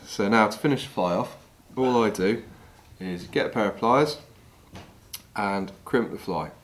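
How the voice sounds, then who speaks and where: A middle-aged man from England calmly talking through each step of tying a fishing fly while he works with his hands. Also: on the low side, a little slow, slight background noise. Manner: calm, practical, talks while working with his hands. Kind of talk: step-by-step demonstration. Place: wooden lodge room, indoors.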